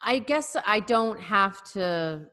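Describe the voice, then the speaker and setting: A woman's voice: mid-range voice, lively intonation, takes it slowly, pushed and bright. A woman co-hosting a podcast over a video call, telling a story in a hesitant, wry way with many fillers. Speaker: podcast co-host. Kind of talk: casual storytelling chat.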